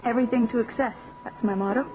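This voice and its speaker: A woman's voice: a little high, steady tone, fast, lightly acted. An actress playing a flirtatious, bold female writer in a radio drama, teasing and languid in her delivery. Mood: teasing, coaxing. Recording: thin phone-like radio audio, music behind.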